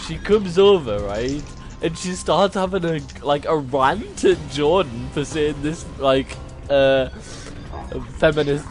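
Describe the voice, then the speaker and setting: A young British man telling anecdotes in casual, slangy, bantering chat with a friend. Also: medium pitch. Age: young adult. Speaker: video host telling stories. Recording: music behind the voice. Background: music.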